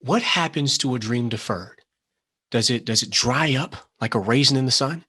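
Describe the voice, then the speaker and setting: An actor playing an earnest, brainy hacker, nervous but determined, speaking haltingly in a screenplay table read. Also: male voice, medium pitch, bright, forceful tone, slightly rough. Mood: earnest, defiant.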